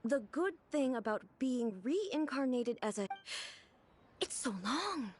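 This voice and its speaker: A woman's voice: high voice, sing-song, slow, slight background noise, heavily acted. A woman playing a dignified, aloof female ruler in an anime-style video game, speaking formally and earnestly. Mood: puzzled, curious.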